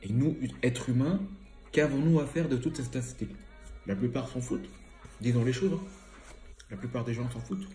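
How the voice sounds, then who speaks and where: A man's voice: mid-range voice, moderate pace, pauses often, warm tone, reverberant, soft background music. A man with a cold and a slightly stuffy voice, giving a casual, rambling commentary full of hesitations and fillers. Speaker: environmental vlogger.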